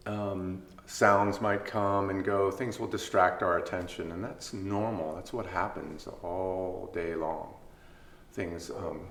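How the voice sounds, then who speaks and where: A man leading a guided body scan meditation as a mindfulness instructor, speaking gently with unhurried pauses between phrases. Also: deep voice. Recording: echoey room, faint background noise.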